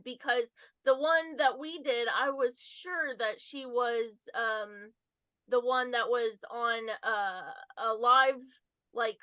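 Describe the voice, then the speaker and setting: Slow, hesitant, bright-voiced woman. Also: on the high side, dry recording, poor audio. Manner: hesitant, searching for words. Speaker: podcast panelist. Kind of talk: casual discussion.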